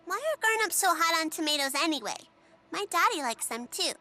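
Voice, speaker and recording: A woman's voice: very high-pitched, big pitch swings, fairly quick, bright, forceful tone, heavily acted. A woman voicing an outspoken, curious and picky little girl in a video game. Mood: grumbling.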